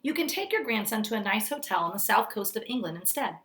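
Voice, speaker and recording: A male doctor in a storybook, read by a woman. He is practical and cautioning, with a firm, measured manner and an expressive, varied pitch.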